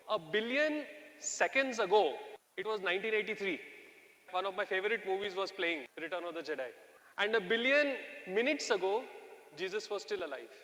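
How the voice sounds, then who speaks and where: High bright-voiced man in a reverberant hall. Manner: storytelling, asks the audience questions. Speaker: speaker addressing a live audience. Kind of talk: lively talk to an audience.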